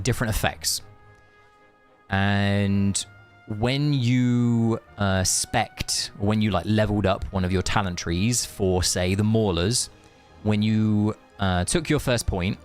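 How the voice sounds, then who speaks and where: A man chatting informally and chummily to viewers as he streams a game. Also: lowish voice. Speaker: game livestreamer. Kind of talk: casual livestream chat and commentary.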